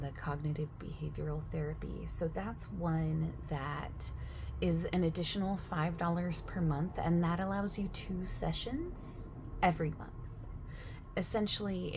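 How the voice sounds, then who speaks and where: A woman in a soft-spoken roleplay as a gym receptionist, overexplaining the options in a chatty way and sometimes dropping to a whisper. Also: fairly low pitch, creaky, slight background noise. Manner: chatty, overexplaining, with filler words; drifts between soft speech and whispers.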